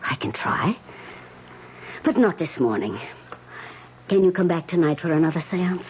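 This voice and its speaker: An actress playing a solemn woman medium in a radio drama, speaking deliberately in a hushed, portentous way. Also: fairly low pitch, animated. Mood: grave, careful. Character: solemn woman spirit medium holding seances. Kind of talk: 1970s radio drama acting. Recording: dull, hissy old radio recording.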